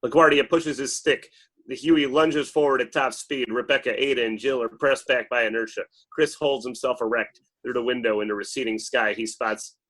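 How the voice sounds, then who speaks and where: A man's voice: mid-range voice, a bit bright, rough recording. A man reading stage directions as dramatic scripted narration at a table read.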